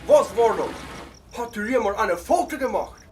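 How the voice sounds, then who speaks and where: A man's voice: high-pitched, lively intonation, a little slow. A man plays a ruthless tycoon barking orders. He is pompous and gloating, set slightly far from the mic over faint noise, in children's radio play style. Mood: alarmed, commanding. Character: ruthless male businessman, boss ordering forest felled. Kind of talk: children's audio drama acting.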